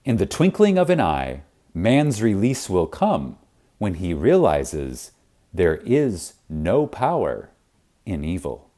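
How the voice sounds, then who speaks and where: A man narrating a spiritual audiobook in a reverent, emphatic manner with dramatic pauses. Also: deep voice, huge pitch swings, warm tone. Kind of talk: scripted audiobook reading of spiritual teaching.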